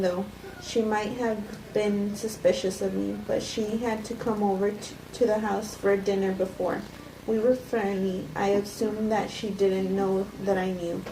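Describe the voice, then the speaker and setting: A woman's voice: medium pitch, lightly acted. Woman reading a coolly self-justifying poisoner, echoey room. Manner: halting, stumbling amateur reading. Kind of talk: cold table read of a script. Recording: echoey room, distant mic, noisy background.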